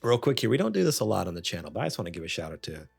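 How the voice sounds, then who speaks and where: A man's voice: low-pitched, very animated, rapid-fire, creaky, husky, dry recording. A man of about thirty hosting a podcast with casual, jokey, self-deprecating banter. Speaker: podcast host. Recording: music playing behind. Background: background music.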